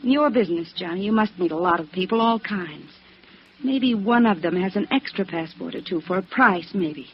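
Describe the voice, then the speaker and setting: World-weary noir dame, vintage radio. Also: female voice, on the low side, animated, moderate pace. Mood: guarded, bargaining. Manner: earnest, weary, melodramatic. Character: world-weary, pleading woman stranded abroad with secrets. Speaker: radio drama actress. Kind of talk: 1950s radio noir acting. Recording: dull, slightly noisy vintage radio recording.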